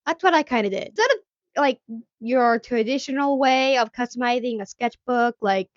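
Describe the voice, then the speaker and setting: A woman craft creator chatting casually and haltingly to camera, recorded with dull, lo-fi sound. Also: high voice, dry recording. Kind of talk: casual chat to camera introducing a project.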